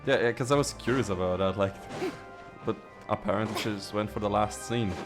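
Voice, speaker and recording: A man's voice: fairly low pitch, a little fast, music in the background. A man doing casual, slangy game playthrough commentary, reacting and repeating himself. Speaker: streamer playing a game.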